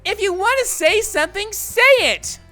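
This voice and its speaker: Woman acting a shrill, sour little schoolgirl. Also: moderate pace, pushed and bright, faint music behind. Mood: angry, snapping. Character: small, sour, feisty schoolgirl with a short temper. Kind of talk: fan dub of a visual novel.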